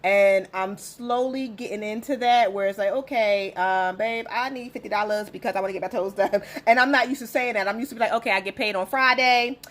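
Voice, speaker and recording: A woman in her forties hosting a casual chat, telling stories with lively quoted voices and frequent laughter. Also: mid-range voice, fairly quick, few pauses. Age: 40s. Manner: animated storyteller, acts out quotes, laughs often. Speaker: host.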